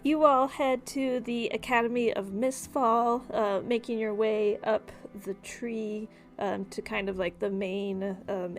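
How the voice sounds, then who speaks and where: Woman game master, halting and explanatory. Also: mid-range voice. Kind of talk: improvised narration of tabletop game.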